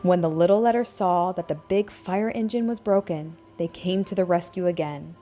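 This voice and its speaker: A woman's voice: fairly low pitch, normal speed, mellow. Woman reading a children's storybook aloud. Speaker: storybook reader. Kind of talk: scripted children's read-aloud narration.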